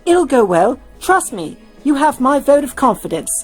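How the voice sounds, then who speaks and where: A woman voicing a tired, sarcastic but caring young woman in a fan fiction reading, in a wry, animated way. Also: sits high, normal speed, soft background music, voice pushed up. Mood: teasing.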